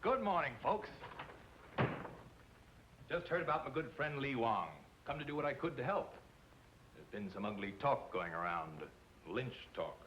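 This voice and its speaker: A man's voice: mid-range voice, animated, normal speed, long pauses, muffled recording, natural voice. An actor playing an oily, falsely sympathetic townsman in an old television western, folksy and insinuating. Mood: falsely apologetic. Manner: folksy, insinuating, mock-apologetic. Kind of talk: 1950s television western acting. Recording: faint noise on old soundtrack.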